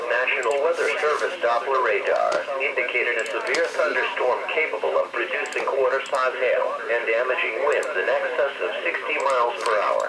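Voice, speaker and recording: A synthetic male voice reads formal emergency weather bulletins in a flat, measured way through a weather radio. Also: mid-range voice, rough recording. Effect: weather radio speaker. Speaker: automated weather radio warning announcer. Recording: radio broadcast picked up in room, chatter behind.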